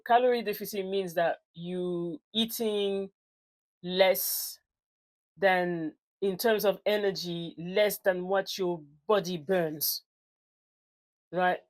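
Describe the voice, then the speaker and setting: A woman in her forties, raised in France, speaking English with a French accent mixed with African tones. She is a personal trainer talking casually to camera, chatty and unscripted, correcting herself as she goes. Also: medium pitch. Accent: French with African influence. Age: 40s. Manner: chatty, loose and unscripted, with many fillers and self-corrections.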